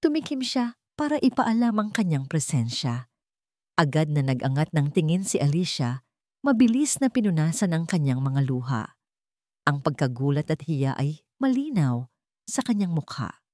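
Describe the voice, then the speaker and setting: A woman's voice: deep voice, big pitch swings, moderate pace, dark tone, dry recording, clean studio sound. A woman narrating a scripted romance story in a dramatic storytelling style.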